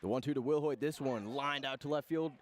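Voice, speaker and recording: A man doing live radio play-by-play of a baseball game in clipped phrases, with pauses between calls. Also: medium pitch, slight background noise. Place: outdoor ballpark broadcast.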